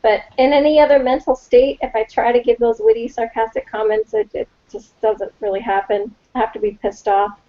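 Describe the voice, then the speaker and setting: Female panelist, a little high in pitch, casual, halting, reflective, dull video call sound. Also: expressive, mellow. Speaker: panelist in a review discussion. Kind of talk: casual chat.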